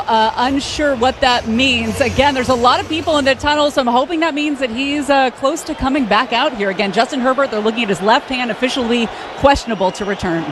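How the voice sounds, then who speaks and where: An American woman giving a live sideline report at a football game, speaking from the stadium field. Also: on the high side.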